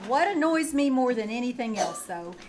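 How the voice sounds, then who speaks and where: A woman playing a sardonic schoolteacher with deadpan wisecracks in old-time radio comedy style. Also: fairly high pitch, moderate pace. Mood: wry, put-upon. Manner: deadpan, sarcastic delivery. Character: wry, sardonic female schoolteacher with dry wisecracks. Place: small town theater stage. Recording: live stage recording with background noise.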